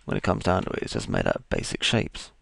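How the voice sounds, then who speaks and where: A man with a croaky voice, casually talking through each step of a 3D modeling tutorial as he works. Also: sits low, huge pitch swings, fast, a bit bright, no echo. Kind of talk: screen-recorded software tutorial.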